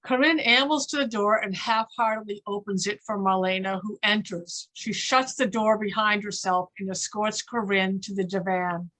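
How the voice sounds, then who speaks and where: A woman's voice: medium pitch, fairly even intonation, moderate pace, hardly any pauses, fairly bright tone, rough recording. A woman reading stage directions as scripted narration for a readers theater play.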